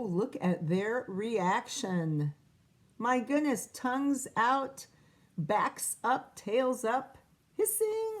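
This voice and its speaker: Slow, gentle teacher reading aloud. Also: female voice, a little high. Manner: expressive, asks questions and counts aloud with children. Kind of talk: children's read-aloud with commentary.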